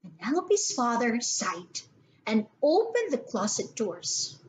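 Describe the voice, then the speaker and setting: A woman's voice: on the high side, big pitch swings, slow pace, a little hiss or hum, rough recording. A woman reading a scripted children's story aloud as the storyteller.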